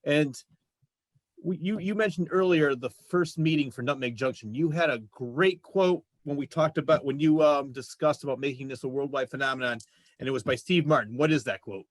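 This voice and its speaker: Man, fairly high voice, talk show host, casual, agreeable, encouraging, dry close sound. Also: moderate pace, dry recording. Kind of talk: casual conversational interviewing.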